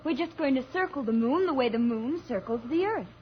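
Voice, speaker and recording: Plucky young woman, high, earnest, steady, radio serial, dull recording. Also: brisk, a little hiss or hum. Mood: reassuring. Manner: earnest, composed. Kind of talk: 1950s radio drama acting.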